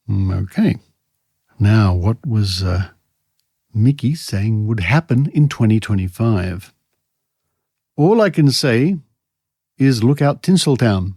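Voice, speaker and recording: A man's voice: mid-range voice, dark tone, no echo. An Australian man hosting a podcast, commenting conversationally and reading aloud with dry, skeptical asides. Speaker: podcast host.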